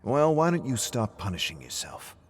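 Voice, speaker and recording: A man voicing a wry bartender who gently chides an old friend in an audio story. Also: mid-range voice, wildly swooping pitch, normal speed, slight background noise, pitched above the performer's own voice. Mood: chiding.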